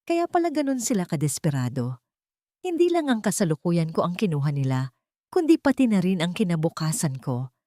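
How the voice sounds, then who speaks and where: A woman narrating a first-person audiobook drama in a steady, dramatic reading on a close mic. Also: lowish voice, dry recording.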